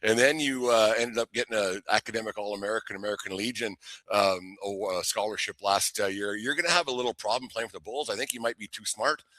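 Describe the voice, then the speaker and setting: Male sports host, folksy and jokey, halting delivery, bright edge, dry close sound. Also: on the low side, no echo. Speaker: host of a local baseball show. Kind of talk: casual sports interview.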